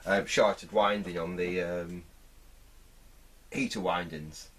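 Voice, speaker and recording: A man giving unscripted hands-on repair commentary, thinking aloud in a halting way as he works. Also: low-pitched, fairly even intonation, slightly rough, recorded from a distance. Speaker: host of a vintage radio repair channel.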